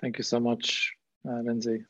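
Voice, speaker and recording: A man's voice: mid-range voice, monotone, moderate pace, a bit bright, dry recording. A middle-aged Irish man speaking as a guest trainer in a webinar, discursive with frequent fillers. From Ireland. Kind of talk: webinar Q&A talk. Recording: dull lo-fi sound.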